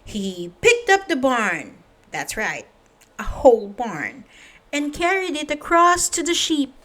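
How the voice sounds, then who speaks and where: Slow, higher-pitched woman reading a storybook. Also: very animated, fairly bright tone. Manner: addresses young listeners directly. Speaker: children's story reader. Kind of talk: read-aloud storybook narration.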